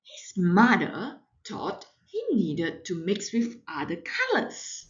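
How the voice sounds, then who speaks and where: A woman narrates a picture book at a very unhurried pace, with huge swings in pitch. She leans into words dramatically, in the expressive way of someone reading to a small child. The recording is a little dull and lo-fi. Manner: expressive, deliberate, dramatic emphasis. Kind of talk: animated children's storybook narration.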